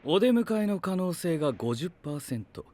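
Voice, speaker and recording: A man's voice: on the high side, big pitch swings, moderate pace, darkish tone. A man playing a coolly analytical young knight in an anime voice drama, speaking in a clipped, formal, matter-of-fact way. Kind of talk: Japanese anime voice drama acting. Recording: music behind the voice.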